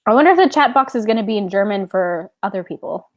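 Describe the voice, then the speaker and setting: A woman co-hosting a casual book club livestream, relaxed and chatty, with lots of "like" in her talk. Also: mid-range voice, fast. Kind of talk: casual chat.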